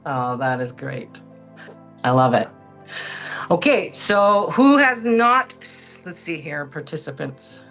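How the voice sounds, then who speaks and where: A woman's voice: low voice, lively intonation, slow pace, fairly bright tone, soft background music. Chatty woman hosting a video meetup. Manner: upbeat, chatty, talks about astrology. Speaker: meetup host. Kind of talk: casual group chat on a video call. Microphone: video call.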